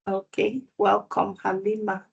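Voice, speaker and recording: A woman speaks slowly and deliberately as she hosts an online exam prep session. Her voice sits at a middle pitch, and her manner is welcoming and measured over a video call. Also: level tone, vocal fry, husky, dull audio.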